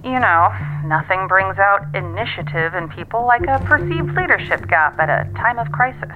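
An actress playing a blunt, businesslike colleague over a telephone in an audio drama, dry, pointed and matter-of-fact. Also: female voice, medium pitch, animated, normal speed. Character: blunt, businesslike female colleague delivering warnings. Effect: telephone filter. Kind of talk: naturalistic audio drama phone dialogue. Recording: tinny phone line, faint music.

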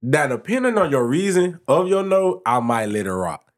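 A man's voice: fairly high pitch, sing-song, moderate pace. Talkative man in a casual podcast. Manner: talkative, explains at length with many restarts. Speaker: podcast co-host.

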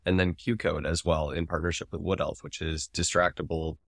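Male podcast co-host, low register, conversational and opinionated explainer, very dry sound. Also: flat delivery, fairly quick, gravelly, no echo. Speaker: podcast co-host, advertising commentator. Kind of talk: casual podcast discussion.

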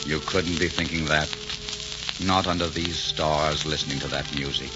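An actor playing an arrogant, vengeful desert sheik, imperious and romantic, in a 1940s radio romance style. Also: male voice, extremely low pitch, steady tone, moderate pace. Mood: seductive. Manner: imperious, theatrical, romantic murmuring. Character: arrogant, vengeful desert sheik, commanding and seductive, Oxford-educated. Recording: dull 1940s radio recording, loud organ music.

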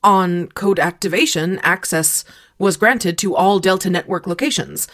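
A woman's voice: lowish voice, a little slow, fairly bright tone. A woman playing a precise, obliging computer program in an audio drama, reporting in a formal, methodical, robotic way. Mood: precise, factual. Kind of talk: scripted audio drama acting.

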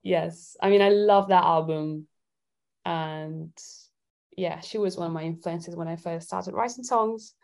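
A woman's voice: lowish voice, a little slow, vocal fry, darkish tone. A woman from Italy chatting casually as a guest singer-songwriter in an interview.

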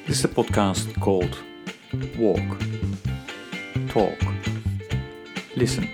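Measured male podcast host over guitar music. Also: fairly low pitch. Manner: measured, brief affirmations. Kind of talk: podcast interview hosting. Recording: music playing behind voice.

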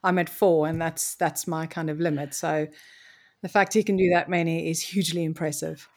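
A woman's voice: deep voice, fairly even intonation, normal speed. A woman from Mozambique, telling her story as an interview guest in an articulate, reflective way. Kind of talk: conversational interview.

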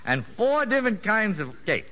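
An actor playing a boastful, streetwise convict safecracker in a 1950s radio drama, talking in ungrammatical slang dressed up with pompous fancy words. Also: male voice, high voice, big pitch swings, normal speed, pushed and bright, full character voice. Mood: boastful, relishing. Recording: dull vintage 1950s radio recording.

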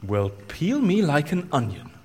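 An actor playing a curious, wryly theatrical man in an audio drama, husky, expressive and incredulous, with a ready quip. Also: a little high, a little slow, big-room sound, full character voice.

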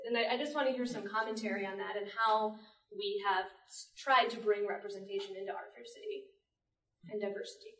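A woman moderating a live panel discussion, speaking to the room as she poses topics to the panel. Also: medium pitch. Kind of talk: spoken panel discussion before an audience. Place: panel at a public library, indoors. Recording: roomy, distant library event recording.

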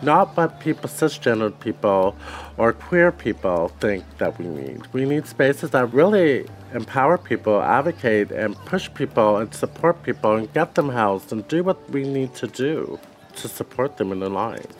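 A man's voice: medium pitch, big pitch swings, moderate pace. A man who is a community organizer, answering interview questions in an earnest, driven way and leaning on the word "really.".

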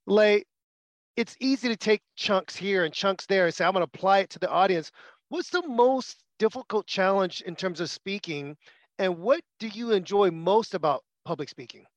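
A man hosts an interview with a high, bright-sounding voice and an enthusiastic, emphatic, almost preaching delivery. The sound is dry and close, with no room echo. He stresses his key phrases firmly. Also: animated, normal speed. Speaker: podcast host. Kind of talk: podcast interview.

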